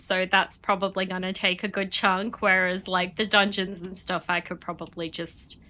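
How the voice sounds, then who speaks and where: A woman with a bright, clear voice chats away to her stream while she plays, sniffling a bit from a cold. She rambles easily from one thought to the next and laughs often, and she talks at an unhurried, slow pace. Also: medium pitch. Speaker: game streamer. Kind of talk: casual chat while playing.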